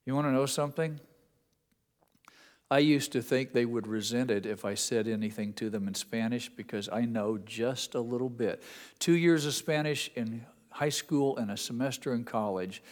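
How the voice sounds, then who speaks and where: A man's voice: medium pitch, normal speed, a little mellow. A man with an Indiana accent preaching a sermon to his congregation in an earnest, conversational way with folksy asides. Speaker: pastor. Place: church sanctuary. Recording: long reverb of a church hall.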